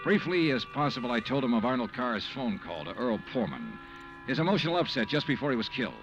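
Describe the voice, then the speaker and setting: A hardboiled insurance investigator with a mid-pitched, very lively delivery. He is dry, wry and brisk in old radio detective style, with music behind. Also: lo-fi sound. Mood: matter-of-fact. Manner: brisk, dry, matter-of-fact. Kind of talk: 1950s radio detective narration and acting.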